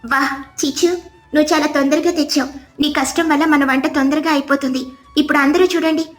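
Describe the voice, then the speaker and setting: A woman's voice: sits high, fairly even intonation, fast, reverberant, soft background music, close to an everyday voice. A woman voicing a kindly female bird leader in a cartoon, encouraging and giving directions to others.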